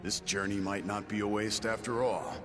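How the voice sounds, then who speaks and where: Casting: male actor for curt video game warrior, deep voice, serious and blunt, score playing behind. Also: steady tone, moderate pace, over music, natural voice. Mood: guarded. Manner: terse, blunt. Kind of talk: video game cutscene acting.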